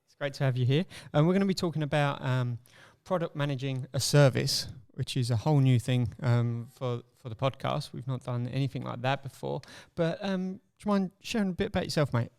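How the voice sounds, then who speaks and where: Podcast host, mid-pitched man, friendly, filler-heavy chat. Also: gravelly, darkish tone. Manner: friendly, lots of ums and quick questions. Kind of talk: casual podcast interview.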